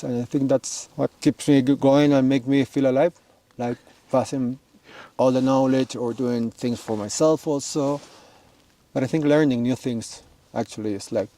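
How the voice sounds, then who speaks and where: A man's voice: medium pitch, warm tone. A man from Chile in his forties, speaking flavoured in short, simple answers as an interview guest. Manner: simple non-native English, short answers. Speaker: guest, snowmobile business owner. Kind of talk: casual interview.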